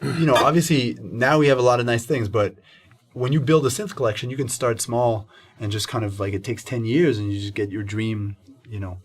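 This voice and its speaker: A man's voice: mid-range voice, fairly quick, slightly rough. A man in his thirties, a musician, talking casually about his studio and gear. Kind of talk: casual chat and to-camera talk. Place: recording studio, indoors. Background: music playing.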